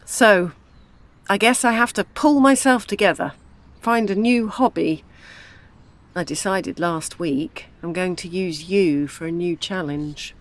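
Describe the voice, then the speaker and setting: An actress playing an older widowed mother in an audio drama, grieving yet gently scheming as a matchmaker. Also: on the low side, unhurried, close to an everyday voice. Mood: brisk, nudging.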